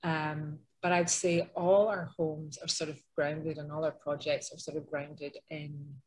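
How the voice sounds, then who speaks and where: A woman's voice: low-pitched, flat delivery, a little slow, a little mellow, slightly rough, rough recording. A middle-aged woman with an Edinburgh Scottish accent, giving a webinar presentation over a video call, talking conversationally with frequent ums and restarts. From Scotland. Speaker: interior designer presenting a webinar.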